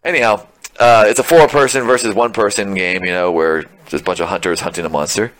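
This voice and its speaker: A man's voice: on the low side, quick pace, fairly bright tone. A man hosting a casual podcast, rambling about games with frequent laughs and self-mocking asides. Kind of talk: casual chat.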